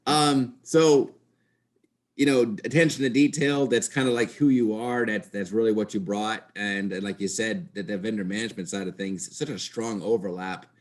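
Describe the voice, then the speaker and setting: A man with a fairly low voice hosts a podcast interview. His style is conversational and a little rambling. He often rephrases a question while he is still asking it. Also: steady tone, moderate pace.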